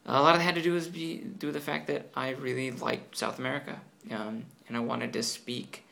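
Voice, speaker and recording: A man's voice: medium pitch, little pitch movement, brisk, gravelly, sharp tone. A man in casual conversation about learning languages, hesitant, with many ums and restarts.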